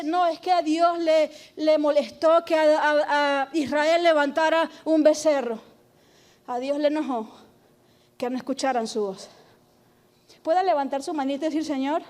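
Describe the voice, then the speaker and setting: A woman pastor preaching a sermon, emphatic and loud, calling on the congregation to repeat after her. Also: high voice. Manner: shouting, emphatic preaching with call-and-response.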